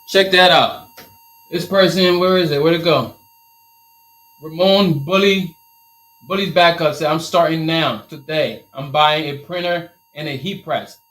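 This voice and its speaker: A man from Liberia with a Liberian accent, hosting a casual live stream Q&A on his t-shirt business and repeating his points in an energetic, motivational way. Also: a little high, measured pace, low-quality recording.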